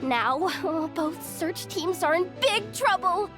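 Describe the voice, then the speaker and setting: A woman's voice: very high-pitched, lively intonation, slow, over music, heavily acted. An actress voicing a nervous young female knight messenger who stammers and giggles. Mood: flustered.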